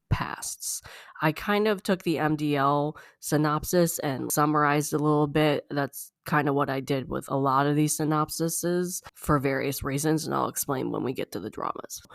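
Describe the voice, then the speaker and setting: A casual podcast host rambles through notes on upcoming shows, sounding slightly congested. The voice sits in the middle of the range with a gravelly, fry-heavy edge. It is recorded close to the mic in a dry, deadened space. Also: medium pitch, no room sound. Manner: chatty, rambling, frequent "like" and "uh". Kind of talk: casual solo podcast chat.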